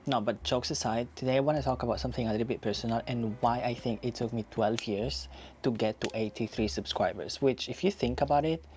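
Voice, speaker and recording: A man's voice: medium pitch, a little mellow, a little hiss or hum. A man in his thirties, raised in Spain, talking casually and reflectively to camera in a personal vlog, repeating phrases for emphasis. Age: 30s. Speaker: YouTuber.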